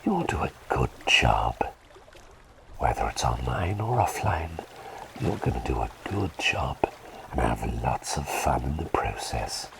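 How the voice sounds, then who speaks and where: A man playing a gentle, doting plague doctor in an ASMR roleplay, speaking in soothing, slightly formal, breathy whispers. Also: rumbling low, sing-song, a little slow, heavy background noise, full character voice. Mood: encouraging, playful. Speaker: ASMR performer.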